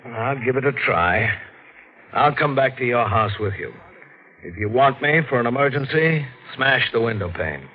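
A man's voice: mid-range voice, lively intonation, moderate pace, close to an everyday voice. A man playing a skeptical, weary police detective in a 1940s radio drama, firm and exasperated. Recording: dull vintage radio recording.

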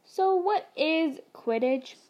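A woman's voice: high voice, lively intonation, slow, a little mellow, lo-fi sound, pitched above the performer's own voice. Curious, unsure young boy wizard, read by a woman; earnest, questioning.

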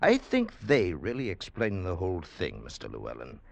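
An actor playing an assured, rational doctor in a 1940s radio drama, deliberate and dismissive. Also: male voice, sits low, wildly swooping pitch, creaky, dry recording, full character voice. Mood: grave, explanatory. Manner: authoritative, deliberate, explanatory.

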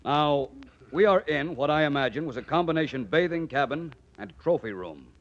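An actor playing a suave, self-assured male detective who explains things politely and deliberately in 1940s radio drama style. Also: fairly high pitch, expressive, close to an everyday voice. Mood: commanding. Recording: muffled, noisy 1940s radio recording.

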